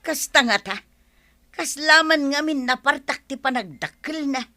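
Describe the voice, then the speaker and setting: A woman's voice: high-pitched, measured pace, sharp tone. A woman acting a stubborn, hopeful, argumentative character in an audio drama. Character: stubborn, hopeful woman believing her mole brings money.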